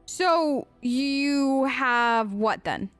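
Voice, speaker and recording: Chatty, high-voiced woman gamer. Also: lively intonation, very slow, a bit bright, dry recording, faint music behind. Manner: chatty, earnest, playful. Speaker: gamer, host of a role-play let's play. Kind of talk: casual in-character game commentary.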